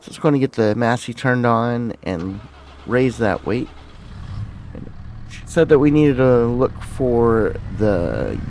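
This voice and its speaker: A man's voice: fairly low pitch, a little mellow, a little hiss or hum. A man hosting a gaming video, giving casual commentary and thinking aloud through each step. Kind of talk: casual gameplay commentary with roleplay.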